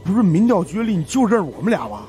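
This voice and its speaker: Excitable, cheeky fat young guy for ghost audiobook; highish, wildly swooping, chatty. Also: fast talker, faint music behind. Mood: excitable, questioning. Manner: exclamatory, chatty, informal. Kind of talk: audiobook character acting.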